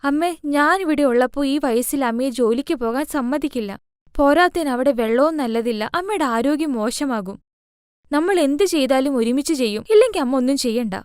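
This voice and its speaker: A woman plays a young daughter-in-law in a cartoon tale. Her voice is high, and her manner is caring, reassuring and steadily hopeful. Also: lively intonation, normal speed, a little mellow, dry recording, studio quality. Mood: firm, caring. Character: devoted, hopeful young daughter-in-law running a roadside food stall.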